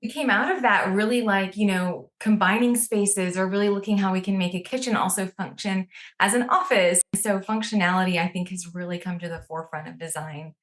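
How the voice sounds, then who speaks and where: A woman's voice: medium pitch, fairly even intonation, brisk. Upbeat woman podcast host. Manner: upbeat, reads introduction smoothly. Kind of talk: scripted intro then casual interview.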